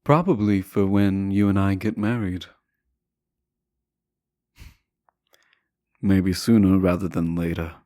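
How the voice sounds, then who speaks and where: A man playing a teasing, self-assured deposed prince in a romantic audio roleplay, speaking softly and deliberately with courtly, formal phrasing. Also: low voice, no echo, studio quality, natural voice. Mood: teasing, confident. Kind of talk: romantic audio roleplay acting, addressing the listener.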